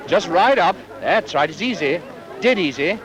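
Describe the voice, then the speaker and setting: A man's voice: high voice, lively intonation, moderate pace, very noisy. A British man chats unscripted into a microphone outdoors at a live event, in an old 1940s archive recording. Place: outdoors at a garden party. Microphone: handheld microphone. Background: loud crowd.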